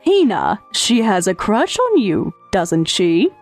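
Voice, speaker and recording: Woman doing a caring anime mom, observant, lively swingy intonation, soft music behind. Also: medium pitch, slow, exaggerated voice. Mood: gentle, knowing. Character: caring, observant working mother of teenagers. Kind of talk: anime-style dub acting.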